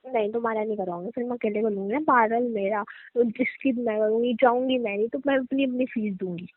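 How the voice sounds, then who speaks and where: A young woman arguing back at her boyfriend in a comedic phone call, sassy and quick to retort. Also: fairly high pitch, no room sound. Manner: sassy, argumentative. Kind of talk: scripted comedic phone banter.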